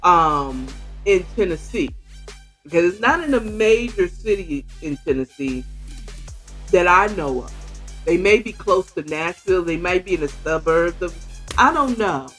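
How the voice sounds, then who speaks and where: A woman's voice: on the low side, lively intonation, unhurried, fairly bright tone, soft background music. A woman with an American accent giving casual book commentary in a conversational way, asking rhetorical questions as she retells the story. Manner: conversational, acts out dialogue, asks rhetorical questions. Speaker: podcast host reviewing a book.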